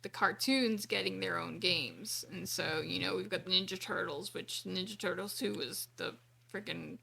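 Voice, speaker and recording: A woman's voice: fairly low pitch, normal speed, gravelly, bright, forceful tone. A woman co-hosting a podcast in casual conversation. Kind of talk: casual chat.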